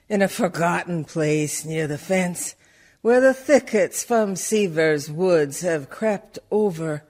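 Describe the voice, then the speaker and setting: A man acting a dead, forgotten villager who is quietly proud, in a measured verse monologue for radio. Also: fairly high pitch. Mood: resigned.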